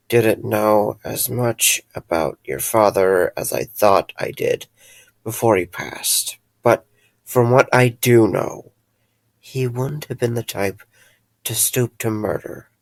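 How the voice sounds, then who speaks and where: A man as a gravelly, caring, wry friend. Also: lowish voice, dry recording. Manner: earnest, hesitant, dryly wry. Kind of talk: audio drama acting.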